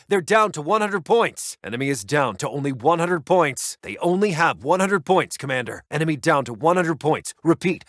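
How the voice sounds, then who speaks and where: Urgent, higher-pitched male army intelligence officer. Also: a bit bright, no room sound, studio quality. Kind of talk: military game announcer voice lines.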